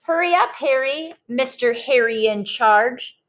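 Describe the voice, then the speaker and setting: A woman's voice: high-pitched, lively intonation, a little slow, fairly bright tone, highs cut off, pitched above the performer's own voice. Woman reading as a chirpy, kindly old man. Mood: snappy. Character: hundred-year-old man, cheerful, kindly, snappy. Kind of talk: children's picture-book read-aloud.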